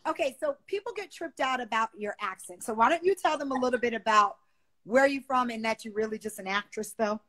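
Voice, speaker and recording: Lively woman hosting a phone livestream. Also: a little high, brisk. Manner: animated, exclamatory, gestures a lot. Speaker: livestream host. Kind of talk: casual livestream chat. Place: bedroom, seated on a bed. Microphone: phone on a video call.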